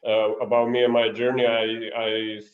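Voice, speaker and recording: A man's voice: medium pitch, monotone, moderate pace, phone-quality sound. A man from Serbia with a Serbian accent, a guest coach speaking earnestly in English on an online coaching clinic and searching for his words. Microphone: video call.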